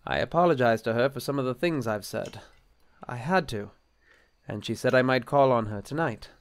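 A man's voice: mid-range voice, lively intonation, moderate pace, natural voice. A man playing an earnest, easily flustered bishop in an audio drama, formal and apologetic in manner. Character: flustered, earnest bishop, polite and harried husband. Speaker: actor in a drama.

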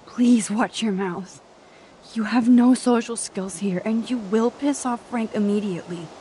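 An actress plays an earnest young woman in a dramatic video game. Her voice sits a little high and she speaks gently, pleading and diplomatic. Faint ambient noise lies behind her. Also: measured pace, lightly acted, poor audio. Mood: cautioning. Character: earnest, conciliatory young woman seeking missing friend.

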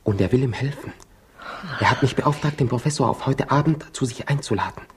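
An actor playing a polite, eager young male lodger in a 1960s radio drama, gentle and courteous. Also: medium pitch, brisk, lightly acted. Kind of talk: 1960s German-language radio drama acting. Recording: slightly noisy old radio recording, clicks.

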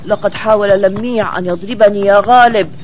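A man voicing a friendly, puzzled young bird in an expressive, clearly spoken children's cartoon dub. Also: sits high, fast talker, background noise, close to an everyday voice. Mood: puzzled, worried. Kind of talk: Arabic children's cartoon dubbing.

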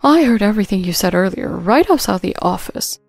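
A woman's voice: medium pitch, animated, fairly quick, vocal fry, no room sound, studio quality, faint music behind. Woman playing stern, commanding older woman. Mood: firm. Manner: firm, authoritative.

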